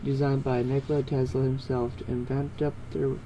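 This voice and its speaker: A casual amateur reader with a mellow, soft-edged tone tells a story aloud. The delivery is informal, with stumbles and quick self-corrections, and there is some noise in the recording. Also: medium pitch, flat delivery, low-quality recording. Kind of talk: reading fan fiction aloud, casual.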